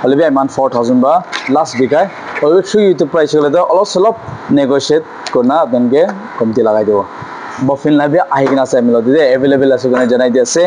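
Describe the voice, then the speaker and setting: A man's voice: mid-range voice, fast, a little mellow, slight background noise, low-quality recording. A man gives an informal shop tour as a seller, rambling and repeating himself with English words mixed in. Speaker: music store seller presenting stock. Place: small cluttered music store.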